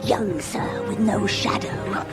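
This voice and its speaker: An actress playing an urgent, portentous priestess of a ruined temple in an audio drama. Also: female voice, medium pitch, slow, natural voice. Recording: loud music under the voice.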